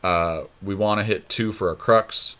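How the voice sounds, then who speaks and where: Male podcast co-host, lower register, casual and deliberative talk about game strategy. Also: unhurried, a bit bright, smooth.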